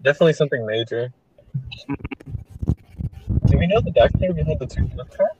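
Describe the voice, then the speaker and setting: A man's voice: fairly high pitch, animated, fairly quick, dull audio, noisy background. A teenage boy whose first language is Spanish, co-hosting a casual chat in an easygoing way and asking follow-up questions. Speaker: co-host.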